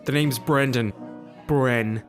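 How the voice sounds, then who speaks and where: A man's voice: mid-range voice, fairly even intonation, slow, faint music behind, natural voice. An actor with an Irish accent playing a brash, boastful man making clumsy chat-up lines in a comic radio drama. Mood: cocky, flirting. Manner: cocky, blustering. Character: brash, clumsy, boastful male chancer chatting up women.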